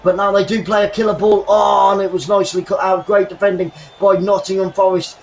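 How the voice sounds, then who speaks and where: A man hosting a live football watchalong from his bedroom, commentating the match and thanking viewers, getting excitable and shouting when chances come. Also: high-pitched, brisk, vocal fry, dull audio, slight background noise. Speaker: watchalong host. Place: home bedroom.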